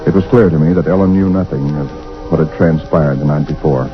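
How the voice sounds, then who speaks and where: A shaken young husband telling his tale. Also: fairly quick. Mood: dread. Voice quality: deep, tense voice with nervous stammers. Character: frightened young husband narrating his ordeal. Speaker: narrator and lead character. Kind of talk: 1940s radio drama acting. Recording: dull old radio broadcast with loud music.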